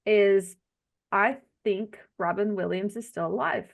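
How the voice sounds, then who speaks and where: A woman hosts a casual group video chat. Her accent mixes Arkansas Southern with New Jersey, and she tells stories in a folksy, conversational way at a middle pitch. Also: unhurried, creaky. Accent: blend of Arkansas Southern and New Jersey. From Arkansas, United States. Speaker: host of an online group chat. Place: video call.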